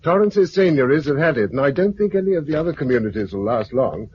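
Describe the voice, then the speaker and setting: An actor with a British accent playing a resolute, protective leader of survivors in a 1950s sci-fi radio drama. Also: male voice, fairly high pitch, expressive, quick pace, natural voice. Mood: reflective, resolute. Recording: dull, noisy 1950s radio recording.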